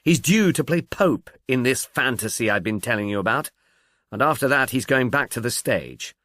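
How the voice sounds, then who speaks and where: A donnish professor turned sleuth, played by a male narrator, musing and offhand. He sounds vague and pensive but moves about in pitch, as a character in an audiobook mystery. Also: lively intonation, normal speed, a bit bright, no room sound, clean studio sound, natural voice.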